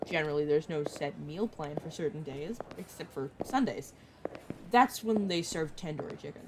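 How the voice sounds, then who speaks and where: A woman's voice: low voice, natural voice. Girl voicing a young vampire dude mentor, chatty n teasing, audio rp, bit of background noise. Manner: teasing, casual, dramatic about food. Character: playful, chatty young male vampire mentor giving a castle tour. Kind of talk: audio roleplay acting, addressing the listener directly.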